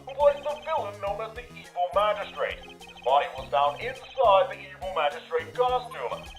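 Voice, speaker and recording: A woman playing a brisk, formal male morning news anchor with polished, emphatic delivery in a game fandub. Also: mid-range voice, roomy sound, lightly acted, poor audio.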